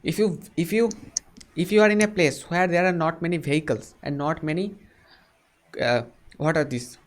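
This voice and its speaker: A man's voice: fairly high pitch, normal speed. Indian man chatting on a video call. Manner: friendly, repeats words back, gives advice. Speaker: channel host chatting with strangers. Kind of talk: casual video chat.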